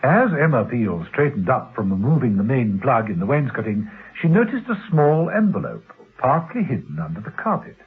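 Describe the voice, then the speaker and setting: A man's voice: mid-range voice, very animated, normal speed. A man narrating a classic radio spy drama in a theatrical, suspenseful and arch manner. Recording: muffled, husky-sounding old radio recording.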